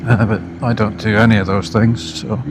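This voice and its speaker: A Scottish man giving a casual, unscripted product review as a motorbike vlogger, speaking haltingly in short phrases while riding outdoors. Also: fairly low pitch, level tone. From Scotland. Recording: loud motorbike wind and engine noise.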